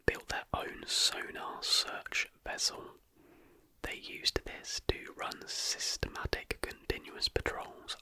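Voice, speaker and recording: An ASMR host reading a script in a soft whisper, with careful, deliberate phrasing. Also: low-quality recording.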